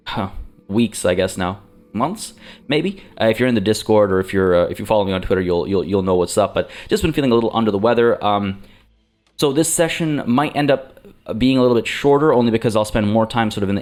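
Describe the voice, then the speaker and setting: A man's voice: lowish voice, darkish tone. A man hosting a let's play, chatting in a rambling way with many fillers and restarts, slightly under the weather. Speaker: gaming channel host.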